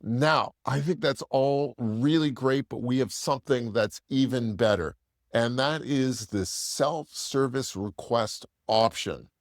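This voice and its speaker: A man's voice: mid-range voice, slow, no room sound, studio quality. A man presenting a casual product demo in a plain-spoken, explanatory, conversational way.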